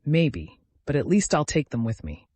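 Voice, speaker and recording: A man's voice: on the high side, sing-song, moderate pace, darkish tone, husky, dry recording. A man voicing a determined, haunted young man in his twenties, speaking earnestly in a dramatic audio story. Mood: grim resolve. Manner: earnest, resolute.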